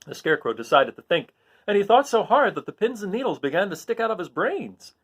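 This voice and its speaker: A man reading a children's storybook aloud as narrator, in an expressive storytelling style. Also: sits high, fairly quick, husky. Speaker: storybook reader.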